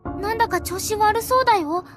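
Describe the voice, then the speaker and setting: A woman playing a bubbly, caring high school girl in a Japanese visual novel. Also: rapid-fire, over music. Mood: worried, caring.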